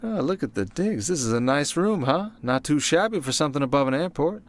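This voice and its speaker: Man acting a slick, higher-voiced charming conman. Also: expressive, fairly quick, natural voice. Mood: flirtatious, pleased. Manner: slick, suave, old-fashioned wiseguy endearments. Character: smooth, cocky male Vegas conman, charming and flirtatious. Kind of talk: ASMR roleplay acting, intimate monologue.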